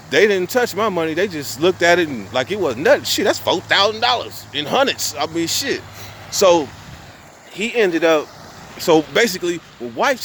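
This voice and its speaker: A man's voice: a little high, fairly bright tone, slight background noise. A young man telling a long story to his viewers in casual street slang, cussing and restarting his sentences often. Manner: profane, rambling, street slang; restarts and repeats himself often.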